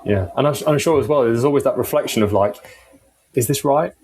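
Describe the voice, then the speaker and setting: A man's voice: medium pitch, fairly quick, a little mellow, husky. A man chatting casually as a podcast guest, rambling and reflective, often checking for agreement. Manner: rambling, reflective, seeks agreement with tag questions. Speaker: podcast guest with audio engineering background. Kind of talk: casual conversation.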